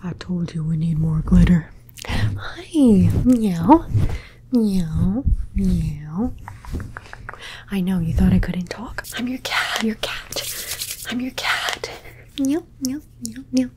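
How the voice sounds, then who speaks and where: A woman voicing a playful, doting pet cat in an ASMR roleplay, whispering softly and meowing between her words. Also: mid-range voice, animated, slow, pauses often, background noise. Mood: whispery, playful. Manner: soft whispering with repeated meows.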